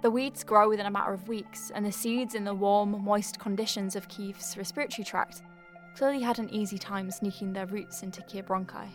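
A woman's voice: mid-range voice, steady tone, brisk, faint music behind, lightly acted. A woman playing an earnest, wry doctor in an audio drama, thinking aloud in a rambling, conversational way as if dictating notes. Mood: thoughtful, explanatory. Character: earnest young woman alien-clinic doctor, flustered and wry. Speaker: doctor dictating a patient letter.

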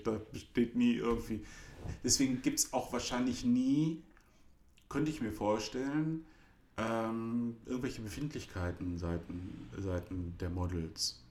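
A man's voice: fairly low pitch, creaky, a little mellow. A middle-aged man chatting casually and telling a story, hesitant with many fillers and restarts. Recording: roomy echo.

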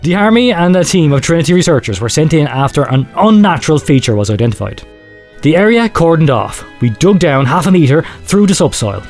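Ominous male researcher read by an actor, clinical and measured, slightly high register, horror audio story with soft music. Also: sing-song. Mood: ominous confession. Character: grave male researcher confessing secret horrific experiments.

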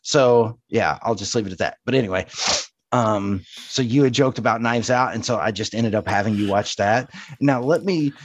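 A man's voice: fairly low pitch, normal speed, no room sound. A man co-hosting a podcast, talking casually and conversationally. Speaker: podcast co-host. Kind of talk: casual chat reviewing media.

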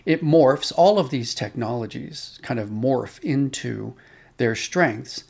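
A man's voice: mid-range voice, lively intonation, takes it slowly, creaky, a little mellow. A man being interviewed as an audio drama producer, explaining conversationally and telling anecdotes with quoted dialogue.

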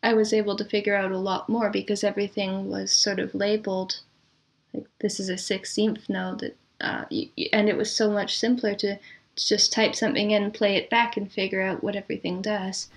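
Woman, interview guest, mid-pitched voice, casual, talkative, easy laugher. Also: flat delivery, brisk. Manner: chatty, laughs easily. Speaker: interview guest, composer and arranger. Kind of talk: casual conversational interview answers.

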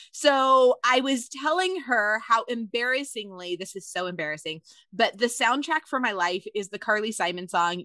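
A woman's voice: on the high side, sharp tone, no echo. Bubbly woman podcast host, dry sound. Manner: chatty, laughing, storytelling with quoted asides. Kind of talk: casual podcast interview.

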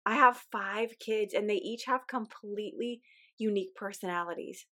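A woman's voice: mid-range voice, normal speed, bright, forceful tone. A woman podcast host with a weak, recovering voice, talking in an earnest, encouraging, conversational way. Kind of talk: casual podcast monologue.

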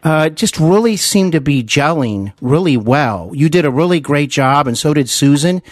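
A man's voice: fairly high pitch, lively intonation, moderate pace, no room sound. Male podcast interviewer, close dry sound. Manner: admiring, long-winded, meandering. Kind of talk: conversational interview.

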